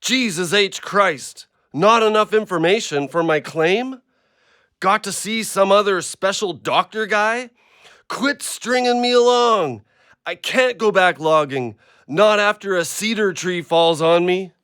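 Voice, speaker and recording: A man playing a troubled, gruff injured ex-logger in an audio play, muttering to himself and his dog. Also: high-pitched, unhurried, sharp tone, dry recording, clean studio sound. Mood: frustrated. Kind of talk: audio drama acting.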